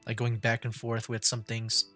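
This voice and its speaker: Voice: medium pitch, monotone, moderate pace. A video game streamer commenting casually on a livestream in a loose, rambling way. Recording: game music behind the voice. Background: video game music.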